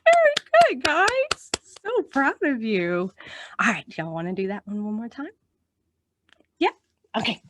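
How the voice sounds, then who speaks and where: Slow-paced, high-voiced woman reading to children. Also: very animated, fairly bright tone, no room sound. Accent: American. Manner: encouraging, addresses children directly with questions and praise. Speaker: children's librarian hosting storytime. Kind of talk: read-aloud storytime and action songs for young children.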